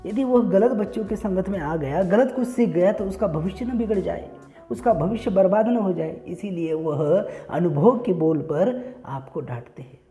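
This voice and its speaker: A man tells a moral tale in a soft, mellow voice with faint music underneath. He speaks as a host to his listeners, explaining in simple phrases and often repeating them. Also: sits high, animated, normal speed. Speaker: storyteller and channel host. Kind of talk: moral storytelling with direct address to listeners.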